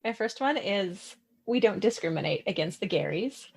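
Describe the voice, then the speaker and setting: A woman's voice: medium pitch, lively intonation, normal speed, creaky, darkish tone, husky. A woman co-hosting a podcast in casual chat, informal and reassuring in the way she talks. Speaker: podcast co-host.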